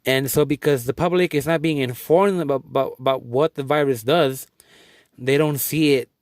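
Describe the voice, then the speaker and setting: A young adult man from Guatemala talks earnestly in a middle-pitched voice, rambling and restarting his sentences as he goes. The recording is dry and close, with no room sound. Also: darkish tone, dry recording. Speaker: vlogger. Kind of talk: casual video update.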